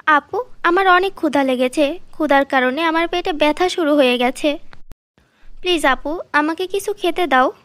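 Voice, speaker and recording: A very young orphan sister from a cartoon fairy tale , played by a woman. She speaks in a high, childlike voice, pleading and plaintive, in a dry recording. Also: moderate pace, a bit bright, no room sound. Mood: hungry, pleading. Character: little orphan girl, younger sister, hungry and tearful.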